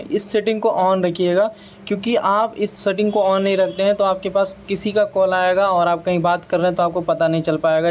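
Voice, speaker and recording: High-pitched, flat-toned Indian man, noisy recording. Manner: repetitive, addresses listeners as friends often. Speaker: tech tutorial host. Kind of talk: casual step-by-step phone settings tutorial. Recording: noticeable background noise.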